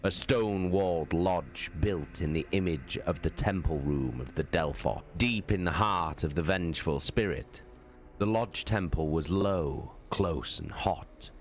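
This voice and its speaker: A man's voice: rumbling low, slight background noise. A man, a streamer who moves between casual chat and dramatic book narration. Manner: sweary, informal. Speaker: streamer hosting a live book reading.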